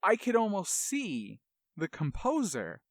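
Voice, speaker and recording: A man's voice: high-pitched, huge pitch swings, takes it slowly, dry recording, clean studio sound. A man hosting a game music show with enthusiastic, analytical commentary and dramatic storytelling.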